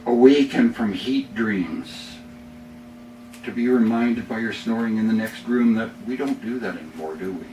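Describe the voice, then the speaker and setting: A middle-aged Canadian man reading his own poems live, with measured, deliberate phrasing and dramatic pauses. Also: fairly low pitch, mellow. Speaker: poet reading own work. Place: bookstore reading before a small audience. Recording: roomy, distant mic, faint noise.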